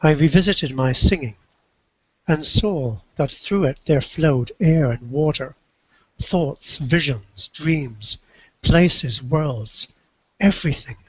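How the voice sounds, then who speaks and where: A man reading a first-person literary narrative aloud in a measured, reflective storytelling way, with a husky voice. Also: a little high, no room sound, lo-fi sound. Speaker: co-reader of a book.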